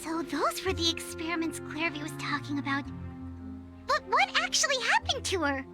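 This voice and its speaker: Squeaky, very high-pitched tiny fairy companion. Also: female voice, moderate pace, over loud music. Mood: shocked, curious. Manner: expressive, questioning, cartoonish. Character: tiny childlike floating fairy companion, curious and wary. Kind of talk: scripted video game voice acting.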